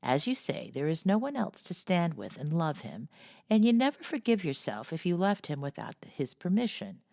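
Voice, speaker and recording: A woman's voice: on the low side, big pitch swings, moderate pace, creaky, dark tone, dry recording, clean studio sound, pitched above the performer's own voice. A woman voicing a proper, motherly seventeen-year-old girl in a classic storybook, earnest and lecturing.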